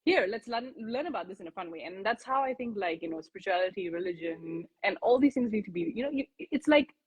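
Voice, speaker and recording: A woman from Singapore talks in an easy, chatty way, full of fillers and restarts. Her voice is husky and a little breathy, sitting at an ordinary middle pitch. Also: lively intonation, quick pace, low-quality recording. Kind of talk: casual conversational interview.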